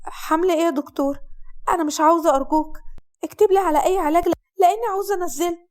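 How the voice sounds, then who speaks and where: A woman's voice: extremely high pitch, fairly even intonation, racing, a little mellow, pitched above the performer's own voice. A woman voicing a distraught young bride, pleading and agitated.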